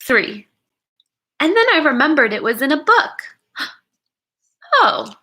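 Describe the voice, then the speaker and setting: A woman educator reading a picture book aloud to children, expressive and encouraging, pausing to wonder aloud and speak to her listeners. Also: high-pitched.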